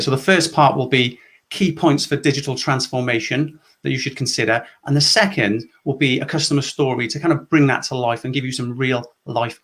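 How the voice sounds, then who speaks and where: A man's voice: mid-range voice, fairly bright tone. A middle-aged man from Wales presenting a structured conference session on customer service, explaining points step by step. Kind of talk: presentation talk.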